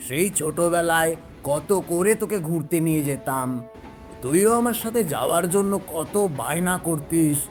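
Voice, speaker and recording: A man's voice: on the high side, a little mellow, voice pushed up, lightly acted. Man voicing a grave, cold old grandfather. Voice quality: heavy, cold voice. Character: grave, reproachful old grandfather with an eerie chill.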